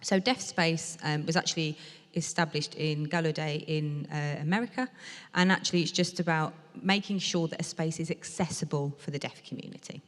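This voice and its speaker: A low-pitched woman interprets a lecture into speech, measured and explanatory, pausing on the odd filler. Her voice carries with a long reverb from a lecture hall at a museum event. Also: steady tone. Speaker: sign language interpreter voicing a deaf speaker. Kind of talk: interpreting a design talk aloud.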